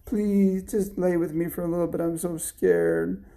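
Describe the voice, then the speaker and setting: A man playing a small child in a spoken story. The voice is pitched well up, whiny and pleading, as if calling for a parent. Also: level tone, a little slow, vocal fry, mellow. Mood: scared, pleading.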